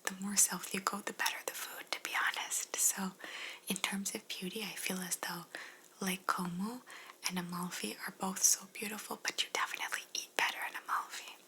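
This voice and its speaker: A young Canadian woman whispering softly through an ASMR question-and-answer chat. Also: mid-range voice, steady tone, a little fast, low-quality recording. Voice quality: soft unvoiced whisper. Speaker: whispering Q&A host.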